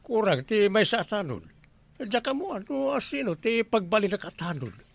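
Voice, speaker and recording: Harried, grumbling village captain, Ilocano accent. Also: male voice, high voice, very animated, moderate pace, vocal fry, heavily acted. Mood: troubled, grumbling. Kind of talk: radio comedy drama acting.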